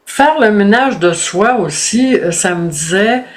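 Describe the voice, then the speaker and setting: A woman's voice: mid-range voice, animated, measured pace. A woman who hosts the interview, asking hesitant questions with many fillers. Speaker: host. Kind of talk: casual interview talk.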